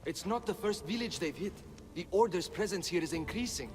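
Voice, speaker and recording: A man's voice: high voice, animated, a little fast, darkish tone, soft background music. An actor playing an earnest, idealistic young Persian man, a rebel's son, pleading his case in a video game. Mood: concerned. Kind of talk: dramatic video game acting.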